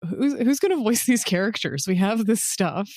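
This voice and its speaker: Woman, mid to low pitch, informal anecdote telling, acted-out quotes, interview guest, dry sound. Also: expressive, normal speed, darkish tone, dry recording. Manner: informal, peppers talk with "like" and acts out quoted exchanges. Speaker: podcast guest, audio voice director and writer. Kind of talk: casual storytelling in an interview.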